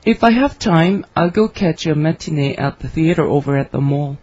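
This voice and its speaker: A woman's voice: sits low, lively intonation, moderate pace, darkish tone, dry recording. Woman reading a scripted dialogue. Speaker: dialogue reader for English learners. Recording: dry, slightly dull studio recording.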